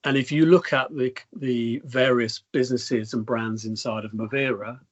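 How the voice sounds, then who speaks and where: A middle-aged man, a company chief executive, answering interview questions in measured corporate phrasing full of fillers. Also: medium pitch. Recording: dull lo-fi sound.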